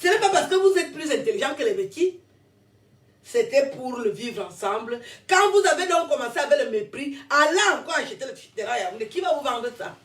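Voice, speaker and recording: A woman's voice: high voice, big pitch swings, a little fast. A woman in her fifties, born in France, giving a combative, rambling monologue to camera and laughing between her jabs. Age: 50s. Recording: roomy echo, distant from mic.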